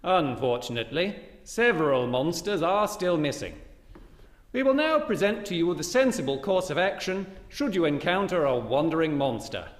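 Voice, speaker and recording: An actor playing a formal, chirpy announcer of a mock public information broadcast, with theatrical delivery. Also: male voice, a little high, big pitch swings, normal speed. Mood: mock urgency. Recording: echoey stage hall.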